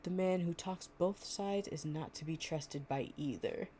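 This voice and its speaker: Woman reading as a blunt, slow-spoken storybook satyr. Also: sits low, mellow, slight background noise. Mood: firm, disapproving. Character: male satyr, puzzled comrade turned wary and blunt.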